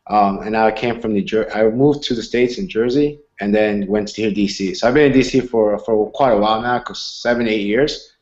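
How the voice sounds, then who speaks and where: A man's voice: lowish voice, a little fast, few pauses, darkish tone, muffled recording. A man in his twenties from Argentina, a fighter answering interview questions in an earnest, rambling way. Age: 20s. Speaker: MMA fighter.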